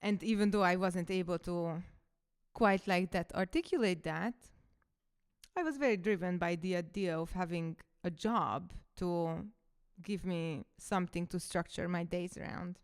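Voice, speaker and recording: Smooth-voiced Hungarian woman reflecting. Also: on the low side, a little slow. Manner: reflective, storytelling. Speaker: coach and podcaster. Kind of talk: casual personal monologue.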